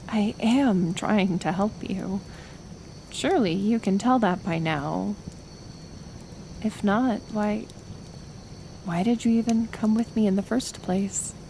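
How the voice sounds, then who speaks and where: A woman plays a caring forest healer in an intimate ASMR roleplay drama. She talks slowly and hesitantly, with a creaky edge to her gentle voice, and stays stubbornly kind. Clear ambient noise sits behind her. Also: mid-range voice. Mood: caring, firm. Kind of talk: soft-spoken ASMR roleplay acting.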